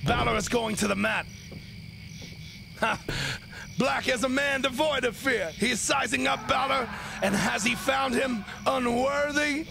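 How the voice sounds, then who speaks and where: An actor playing a gleeful, theatrical villain who commands monsters and gives melodramatic, sinister commentary on a wrestling match in a video game. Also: male voice, very high voice, gravelly, music in the background, heavily acted. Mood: gloating, dramatic. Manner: melodramatic, sinister, cackling, drawn-out exclamations.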